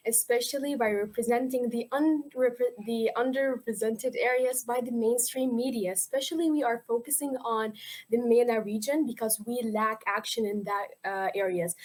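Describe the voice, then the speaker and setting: A woman's voice: a little high, a little mellow. A teenage girl speaking as a youth activist and volunteer in a conference presentation, earnest and emphatic as she explains.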